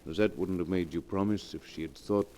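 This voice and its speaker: The sensible worried pal in an old radio play, low dark voice, earnest, persuasive. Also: male voice, steady tone, fairly quick. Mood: earnest, reassuring. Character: concerned, sensible male best friend, urging and reassuring. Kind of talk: 1940s radio acting. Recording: noisy old 1940s radio recording.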